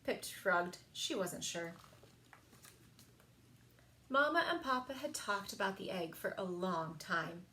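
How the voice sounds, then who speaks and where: A woman reading picture books aloud for a children's story time, expressive and sing-song, with emphasized words. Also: mid-range voice, takes it slowly, long pauses, a little hiss or hum. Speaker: library story time reader.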